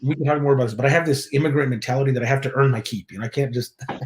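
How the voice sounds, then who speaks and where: A man's voice: medium pitch, very fast, slight background noise. Chatty man from Mexico, restarts often. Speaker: church worship director, guest. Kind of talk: casual interview chat.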